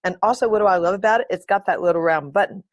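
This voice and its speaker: Playful, animated woman podcast host. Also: medium pitch, very fast, no echo. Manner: playful, animated, sings and makes silly sounds; uninhibited, swears. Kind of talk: solo podcast chat.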